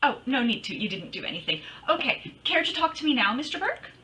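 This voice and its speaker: A woman's voice: sits high, sing-song, fairly quick, pushed and bright, full character voice. A woman playing a wisecracking, breezy female private detective in old-time radio style, with wry, slangy delivery. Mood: flip. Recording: distant microphone.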